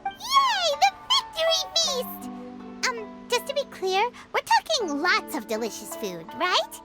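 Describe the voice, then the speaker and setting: A woman voicing a tiny, cheerful, greedy childlike fairy companion in a game, with a squeaky voice. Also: wildly swooping pitch, unhurried, over loud music, full character voice. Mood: excited, eager.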